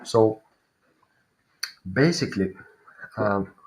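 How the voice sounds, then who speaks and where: A man with a Finnish accent and a fairly low voice reads tarot cards live. He thinks aloud as he goes, pausing and hunting for the right word before settling on his reading. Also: animated, darkish tone. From Finland. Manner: thinking aloud, searching for words. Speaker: tarot reader.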